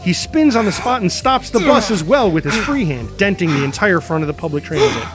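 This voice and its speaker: A man's voice: high voice, animated, a little fast, over loud music. A man reading scripted screenplay narration and stage directions dramatically, voicing the sound effects himself.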